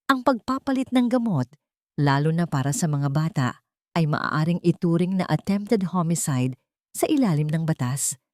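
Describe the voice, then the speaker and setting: A woman voicing a serious, blunt lawyer in his forties, calm and formal, in a narrated drama story. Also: low-pitched, dry recording, studio quality. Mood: blunt, grave.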